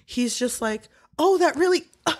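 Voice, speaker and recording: A woman co-hosting a podcast, chatting casually about books in a playful, sweary way with animated retellings. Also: high-pitched, normal speed, no room sound, clean studio sound. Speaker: podcast co-host.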